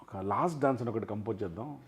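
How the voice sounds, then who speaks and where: A man's voice: on the low side, quick pace. A man speaking as a film industry guest in an interview, often switching into English phrases. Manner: mixes English phrases into his talk. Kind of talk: animated interview talk.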